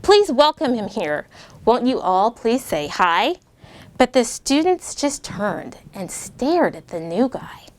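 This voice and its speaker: A middle-aged American woman reads a children's picture book aloud, her pitch sitting a little high and swinging widely as she voices the characters. Her delivery is animated and lively, aimed at young listeners. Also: slow pace. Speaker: military officer reading to children. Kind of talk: storybook read-aloud.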